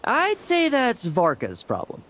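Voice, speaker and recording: A man's voice: very high-pitched, wildly swooping pitch, measured pace, noisy background, exaggerated voice. An actor playing a playful, sly young man in a fantasy video game, with a teasing, breezy delivery. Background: music.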